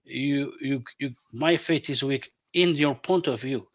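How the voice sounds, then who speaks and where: A man whose first language is Arabic, debating on a video call, halting and repeating words before each point. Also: medium pitch. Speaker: debate guest. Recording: dull lo-fi call audio.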